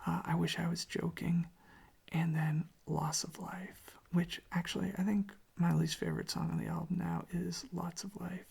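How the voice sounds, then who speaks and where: An ASMR host speaking in a soft, breathy, husky whisper, rambling casually and gently in an informal, hushed way. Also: mid-range voice, expressive, normal speed.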